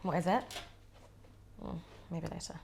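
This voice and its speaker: A sardonic, probing woman who teases her partner in a bickering romantic drama. Her voice is fairly low and her delivery naturalistic, with some ambient noise behind it. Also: a little slow, darkish tone. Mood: grumpy, sardonic. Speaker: actor. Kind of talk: naturalistic stage drama dialogue. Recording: clear ambient background noise.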